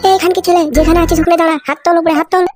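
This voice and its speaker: An actor voicing an eager, obedient police underling in a comedy cartoon, urgent and excitable. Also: very high-pitched, very fast. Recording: music behind the voice.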